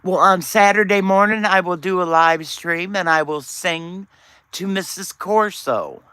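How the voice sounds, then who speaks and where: A man's voice: high-pitched, unhurried, pushed and bright, dry recording. A man, chatting casually and teasingly with viewers on his live stream. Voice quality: raspy.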